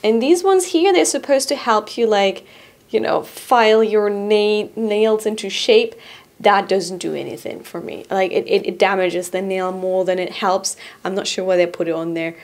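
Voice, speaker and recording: A young woman chats about cheap shop finds to camera from a small studio room, bubbly and quick to giggle. Her voice has a gravelly fry and sits at an ordinary middle pitch. She is close on a studio mic. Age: young adult. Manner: bubbly and giggly, drops to a soft relaxed tone. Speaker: host presenting shop items. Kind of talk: casual product review to camera. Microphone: boom-arm studio mic in front.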